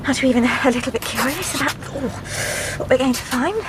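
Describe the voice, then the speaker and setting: Actress doing high voiced english woman engineer, flustered n prickly, war radio drama, really noisy behind. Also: natural voice. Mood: earnest. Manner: flustered, halting, pained. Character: young British woman aeronautical engineer, prickly, reluctant wartime recruit. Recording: loud engine and wind noise behind.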